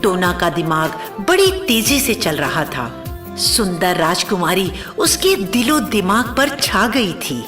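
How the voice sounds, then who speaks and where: A woman's voice: lowish voice, sing-song, takes it slowly, over music. A woman narrating a scripted cartoon story as a storyteller.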